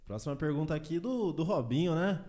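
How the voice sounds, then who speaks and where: A man hosting a podcast, reading listener questions aloud in a relaxed, casual way. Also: on the high side, over a noisy crowd. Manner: unhurried, reads questions aloud. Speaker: podcast host.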